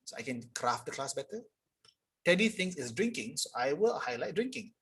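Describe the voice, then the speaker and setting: A young man teaching an English grammar lesson live online, explaining casually and reading sentences aloud slowly. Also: on the high side, very animated, fairly bright tone, husky. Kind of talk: live online tutorial reading chat answers.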